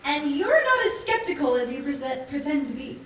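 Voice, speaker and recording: A woman's voice: high voice, sing-song, moderate pace, distant mic. A woman on stage playing an eager, persuasive daughter in a sci-fi colony, speaking in a coaxing, assured way. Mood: earnest coaxing. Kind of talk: staged musical reading, stage acting. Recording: echoey theatre from afar, faint noise.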